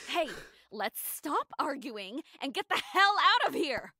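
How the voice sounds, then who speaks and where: A woman's voice: high-pitched, sing-song, a little slow, background noise. An actress playing a tense, protective young female thief in an audio drama, speaking with urgency. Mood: impatient. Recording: music bed behind dialogue.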